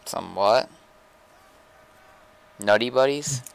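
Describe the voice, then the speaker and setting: A man's voice: medium pitch, extremely slow, creaky. A tired man chatting casually while streaming a game. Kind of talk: casual chat while gaming.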